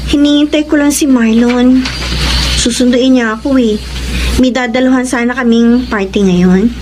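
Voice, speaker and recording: A woman with a Filipino accent playing an affectionate, frank young woman, expressive and teasing, in a radio drama. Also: a little high, normal speed, noisy background, close to an everyday voice. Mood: exasperated.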